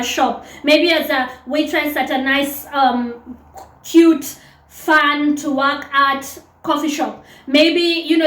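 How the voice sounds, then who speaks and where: A woman talks to camera in a chatty, teasing, direct way. Her voice sits high for a woman. The sound rings around an echoey room. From Kenya. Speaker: dating advice vlogger. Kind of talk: casual advice monologue to camera.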